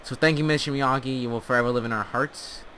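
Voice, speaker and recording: A man's voice: medium pitch, fairly even intonation, fast, sharp tone, soft background music. A man telling comic stories casually over gameplay, rambling in a tongue-in-cheek, mock-earnest way. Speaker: host of a gaming storytelling channel.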